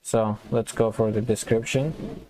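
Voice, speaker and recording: Male tutorial instructor, informal and halting, with a lowish, very even delivery for step-by-step screen walkthroughs. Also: a little hiss or hum, low-quality recording. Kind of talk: casual screen-recorded coding walkthrough, thinking aloud.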